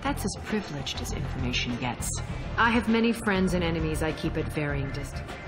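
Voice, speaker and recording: A woman's voice: sits low, expressive, a little fast, music in the background. A woman playing a cool, imperious alien crime boss in a video game, with a curt, sardonic, commanding manner. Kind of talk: dramatic video game acting.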